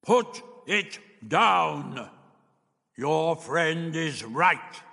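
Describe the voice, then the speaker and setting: A booming invisible alien, stern and commanding. It draws each word out very slowly, pitched above a normal man's talk, in a long reverberant echo. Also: very animated, a bit bright, heavily acted. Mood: stern warning. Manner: very slow, stretched-out delivery.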